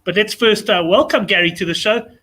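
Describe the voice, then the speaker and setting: A South African man with a raspy voice, hosting a casual, chummy chat show. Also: high-pitched, brisk, pushed and bright. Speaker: show host.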